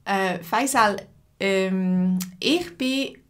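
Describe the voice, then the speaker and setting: Slow, clear Swiss German teacher, a woman. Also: mid-range voice. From Switzerland. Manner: slow, clear speech with many fillers, greeting viewers by name. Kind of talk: live stream lesson, chatting with viewers.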